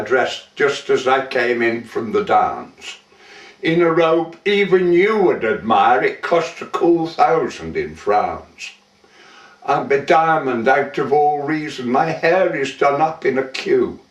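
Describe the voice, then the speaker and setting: Man reciting as a wistful young heiress, slow, echoey. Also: medium pitch, expressive. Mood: proud, playful. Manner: theatrical, measured, with lingering pauses. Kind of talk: dramatic poetry recitation. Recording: roomy echo, distant microphone.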